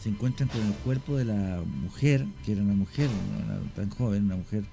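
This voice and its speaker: A man with a Chilean accent, a guest on a radio talk show, telling stories in a measured way with many hesitations. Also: on the low side, creaky, warm tone, faint music behind. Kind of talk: radio interview storytelling.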